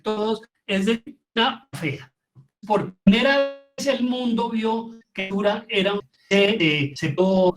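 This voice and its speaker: Male lecturer, middle-aged, Colombian accent, explanatory and informal, feeling his way through phrases. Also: sits high. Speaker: lecturer, theater teacher.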